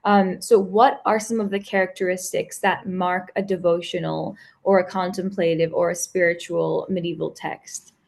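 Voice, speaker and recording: A young woman with a low voice and plenty of vocal fry hosts the interview. She asks her questions and responds with eager, casual enthusiasm. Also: level tone, normal speed, few pauses, a little mellow.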